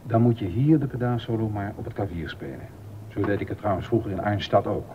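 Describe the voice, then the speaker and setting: An actor playing a stern, exacting baroque composer and organist in a docudrama, emphatic and easily indignant. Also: male voice, a little fast, natural voice. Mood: exacting, instructive. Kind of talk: docudrama radio acting. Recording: dull audio with rumbling noise.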